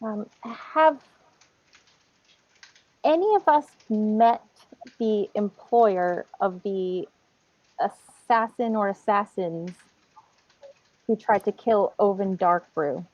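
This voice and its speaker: A woman playing in an online tabletop game, asking careful, deliberate questions. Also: mid-range voice, no room sound, lo-fi sound. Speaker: player. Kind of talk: casual online tabletop chat.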